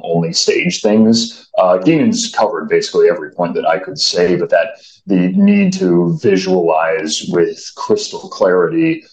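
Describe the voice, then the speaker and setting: A man interviewed as an actor on a video call, speaking in an articulate, measured way with formal wording, in roomy, echoing audio. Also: deep voice, darkish tone. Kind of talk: considered interview talk.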